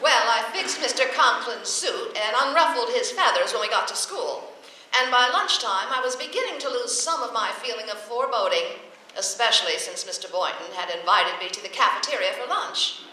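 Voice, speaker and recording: A woman playing a wry, quick-witted American schoolteacher in old-time radio comedy, with crisp, dry delivery. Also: fairly high pitch, lively intonation, pushed and bright. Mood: sly, dry. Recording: echoey, faintly noisy stage recording.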